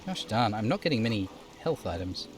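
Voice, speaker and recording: A man's voice: on the low side, sing-song, fairly quick. A man streaming a game, reading descriptive prose aloud like a narrator and dropping in casual asides.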